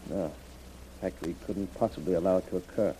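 An actor playing a grave, anxious government official with a formal, deliberate delivery in a 1930s radio drama. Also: male voice, low voice, steady tone, mellow, slight background noise, natural voice. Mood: worried, insistent. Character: solemn, anxious government official planning dangerous secret mission. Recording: muffled, dull old radio recording.

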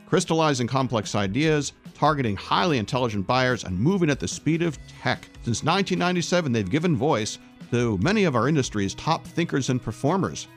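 A man hosting a business radio show, reflecting on his guest's answers and reading sponsor thanks. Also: medium pitch. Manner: reflective, summarizing. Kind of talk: interview and sponsor reads.

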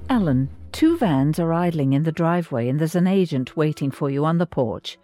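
An actress playing a gentle, steady wife in a sci-fi audio drama, speaking softly and affectionately. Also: female voice, low-pitched, normal speed, soft background music. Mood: tender, reassuring.

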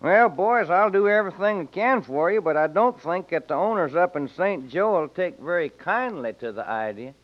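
An actor playing a genial, evasive railroad land agent with a folksy American Western drawl in an old radio drama. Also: male voice, high voice, lively intonation, normal speed, few pauses. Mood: placating. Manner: folksy, smooth-talking. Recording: muffled old radio recording.

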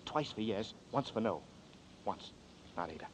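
A man's voice: fairly low pitch, expressive, normal speed, breathy edge. A desperate hunted man. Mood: urgent. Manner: urgent, pleading, intense. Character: desperate hunted young man, Danny Nearing. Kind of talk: 1940s radio acting. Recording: dull old radio recording.

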